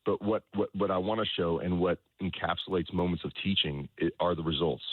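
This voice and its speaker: A man speaking as a soccer coach in an interview, explaining things in a halting way, repeating words and often checking in with the listener. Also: deep voice, fairly even intonation. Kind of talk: conversational sports interview, explaining his coaching philosophy. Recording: roomy echo, dull lo-fi sound.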